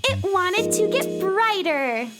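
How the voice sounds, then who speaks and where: A woman playing an eager, cheerful young girl adventurer in a children's space radio drama, earnest and excitable. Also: very high-pitched, slow, over loud music, full character voice. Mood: playful. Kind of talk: children's audio drama acting.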